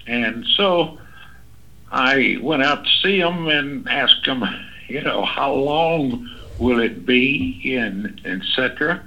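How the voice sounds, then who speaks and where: A man, recalling his past in an oral history interview, talking haltingly with many fillers and restarts. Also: mid-range voice, expressive, highs cut off. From Kansas City, United States. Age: 80s. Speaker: interviewee, Navy veteran. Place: library interview room.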